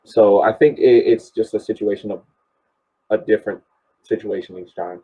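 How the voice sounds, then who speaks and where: Man, low slightly rough voice, dull lo-fi audio, measured casual sports analysis. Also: steady tone. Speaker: podcast panelist.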